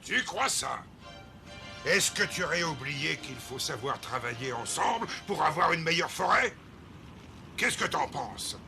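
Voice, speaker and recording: A man's voice: a little high, animated, a little slow, creaky. A man voicing a bossy, self-important animal with a huffy manner in a French cartoon. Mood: challenging. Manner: pompous, huffy, challenging. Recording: music behind the voice.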